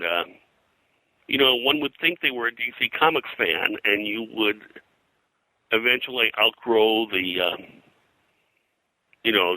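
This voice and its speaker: An older man talking in a rambling interview style, halting and digressive as a guest historian. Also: sits low, sharp tone, dry recording, low-quality recording. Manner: halting, digressive, frequent "you know". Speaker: guest, pop culture historian.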